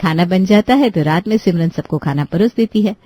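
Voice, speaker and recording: A woman's voice: fairly low pitch, a little fast, dark tone. A woman reading scripted narration for a children's moral story.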